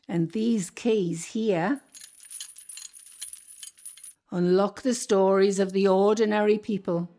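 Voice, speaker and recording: A woman acting the sharp-tongued ghost of an old landlady in a radio play, with a Northumbrian accent and a scolding, bossy way of talking. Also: medium pitch, lightly acted. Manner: scolding, bossy, quick to defend herself.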